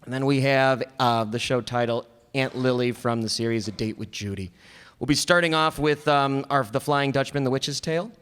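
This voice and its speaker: A man's voice: mid-range voice, normal speed, fairly bright tone, clean tone. A man hosting a live stage show with an informal, rambling and joking introduction. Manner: hesitant, rambling, joking with the audience. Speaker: host. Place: stage before a small audience. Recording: live hall with long reverb.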